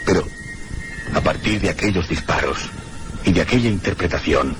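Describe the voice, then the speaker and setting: Actor as a low-voiced, formal gentleman narrator. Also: background noise, natural voice. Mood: reflective, grave. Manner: measured, literary. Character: reflective, formal gentleman narrating his mysterious journey. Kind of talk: scripted first-person radio drama narration.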